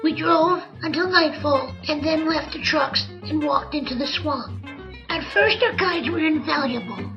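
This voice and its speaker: A woman's voice: high-pitched, vocal fry, poor audio. A woman voicing a small, wiry talking monkey who is cheeky, sarcastic and whiny, in an old-time radio comedy drama.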